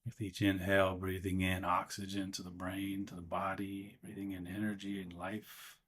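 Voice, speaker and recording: A man with a fairly low voice guides a meditation. His delivery is gentle and unhurried. He often pauses partway through a sentence before carrying on. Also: completely flat delivery, slightly rough.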